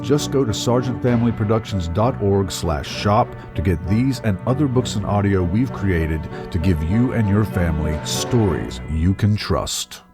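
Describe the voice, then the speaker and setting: A man with a fairly low voice delivers a scripted promo as an announcer. Music plays clearly behind him. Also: animated, normal speed, few pauses. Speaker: advertisement announcer.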